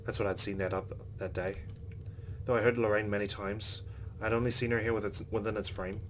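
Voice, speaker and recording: Low-voiced man reading game narration. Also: level tone, fairly quick, slight background noise. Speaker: let's play host. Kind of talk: reading visual novel narration aloud, with reactions.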